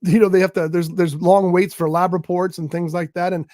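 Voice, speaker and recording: A man hosting a casual showbiz talk show, rambling enthusiastically about a movie, his voice croaky today. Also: high voice, flat delivery, fairly quick, dark tone, dry recording, studio quality. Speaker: show host. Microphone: studio mic on a live stream.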